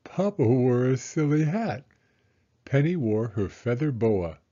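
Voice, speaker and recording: A man narrating a children's story as a storyteller, in a measured, expressive manner. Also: mid-range voice, highs cut off.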